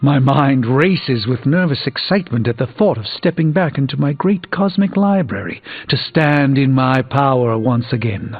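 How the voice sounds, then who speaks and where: Proud male sorcerer, formal, measured, wistful, theatrical game dialogue, muffled audio, music behind. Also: medium pitch, animated. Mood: hushed, ominous. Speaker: video game voice actor. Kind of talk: dramatic video game dialogue.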